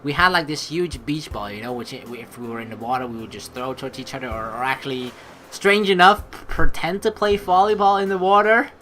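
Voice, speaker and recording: A young man hosting a let's play, reading the story aloud and adding expressive, rambling commentary. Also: mid-range voice, a little fast, a little hiss or hum.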